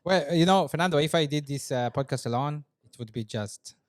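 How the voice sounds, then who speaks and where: A man's voice: fairly high pitch, fairly quick, dry recording, clean studio sound. A man hosting a podcast, chatting casually and agreeing often with short interjections. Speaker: podcast host.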